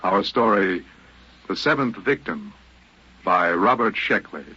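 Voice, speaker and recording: A man gives a dramatic radio introduction as announcer. His voice is low, forceful and husky. The recording sounds dull and vintage. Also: animated, unhurried.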